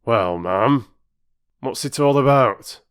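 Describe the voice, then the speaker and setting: A man's voice: medium pitch, animated, slow, a bit bright, clean tone, no room sound, clean studio sound, voice pushed up. A man voicing a doubtful, curious male station master with a folksy manner in a storybook reading.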